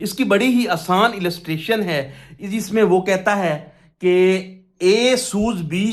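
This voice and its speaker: A man with a Pakistani accent giving an explanatory law lecture, methodically reading out clauses, repeating key phrases and answering his own questions. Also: sits high. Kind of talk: explanatory lesson, reading statute clauses aloud and explaining them.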